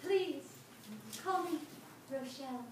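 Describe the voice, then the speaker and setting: A woman's voice: high-pitched, slow. A woman playing a smitten home-visiting nurse with a melodramatic manner in a comic radio play. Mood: tender. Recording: echoey live room, distant mic, loud noise.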